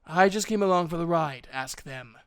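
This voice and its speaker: Guy doing a sardonic male elf, proud n bossy, quick temper, fantasy audiobook, voice a bit high. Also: a bit bright, clear, resonant tone. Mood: wry. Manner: sardonic, commanding.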